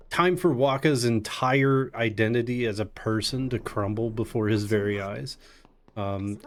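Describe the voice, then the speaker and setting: A man giving casual live commentary on a speedrun in a jokey, sarcastic way. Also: on the low side. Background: video game music.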